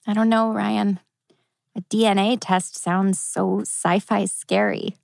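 A woman's voice: medium pitch, fairly even intonation, slow pace, gravelly, darkish tone, no echo, studio quality. A woman playing an anxious, wry teenage girl, speaking hesitantly in a TV teen drama table read. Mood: anxious, unsure. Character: anxious, wry teenage girl torn over her family.

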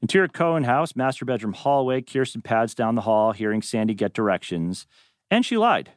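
A man's voice: medium pitch, sing-song, fairly quick, no echo, studio quality. A man narrating a screenplay's stage directions at a table read. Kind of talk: animated script reading.

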